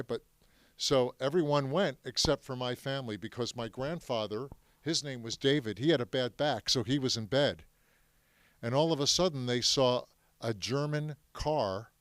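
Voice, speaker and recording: A man whose first language is French, telling his family's Holocaust story to a live audience in a lively way, often asking the crowd questions. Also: medium pitch, clear, resonant tone, no echo.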